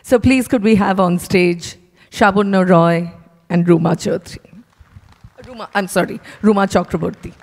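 A woman's voice: medium pitch, normal speed, a little mellow. A middle-aged woman with an Indian accent, introducing guests as the announcer at an event. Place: hotel ballroom. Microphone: handheld microphone. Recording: echoey ballroom with long reverb.

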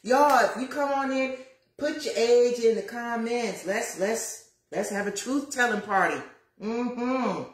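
A woman in her fifties chatting to viewers on a livestream while cooking in her home kitchen, lively and preachy, calling out to them as y'all. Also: on the high side, a little slow. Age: 50s. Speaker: lifestyle vlogger. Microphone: phone camera at arm's length. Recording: roomy kitchen echo.

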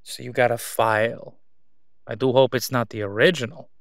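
A man acts out a middle-aged crime boss for an audio drama, sly and self-assured. He draws out his words with mocking condescension, smooth-talking his way along. The sound is close and dry. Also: medium pitch, steady tone, no room sound, natural voice. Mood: mocking, amused. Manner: sarcastic, smooth-talking, drawn-out condescending delivery. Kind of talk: roleplay audio drama monologue.

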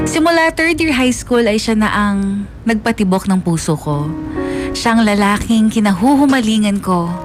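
A woman's voice: mid-range voice, lightly acted. A woman playing a lovestruck narrator who recounts her school crush earnestly and wistfully, as a radio drama confession. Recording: music under the voice.

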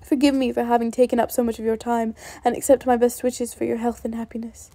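Darcy, a woman reading a cold proud gentleman. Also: a little high, flat delivery, quick pace, dry recording, lightly acted. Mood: cold restraint. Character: proud wealthy young gentleman, cold and stiff.

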